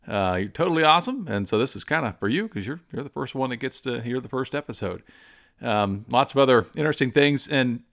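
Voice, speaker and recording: A man's voice: mid-range voice, lively intonation, fairly quick, fairly bright tone. A man hosting a podcast, thanking supporters in a casual, rambling way full of fillers. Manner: rambling, filler-heavy, friendly delivery. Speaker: podcast host and game master.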